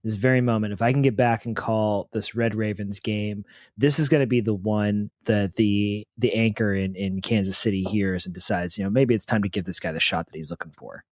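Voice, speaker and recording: Low, dry-sounding man improvising at the table. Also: darkish tone, dry recording. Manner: halting, thinking aloud. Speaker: player in a tabletop role-playing game. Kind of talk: casual improvised in-character chat.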